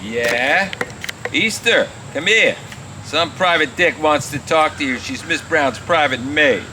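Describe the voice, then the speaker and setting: A man playing a blunt, suspicious husband in a radio play, with halting delivery. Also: a little high, pushed and bright, a little hiss or hum, heavily acted. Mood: wary, blunt. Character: gruff, suspicious husband with a guard dog. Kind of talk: amateur radio play acting.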